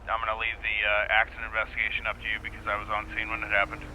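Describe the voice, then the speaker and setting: A man's voice: fairly low pitch, completely flat delivery, racing, sharp tone, a little hiss or hum, poor audio. A man playing a businesslike police officer, speaking in clipped, procedural radio calls for an online game roleplay.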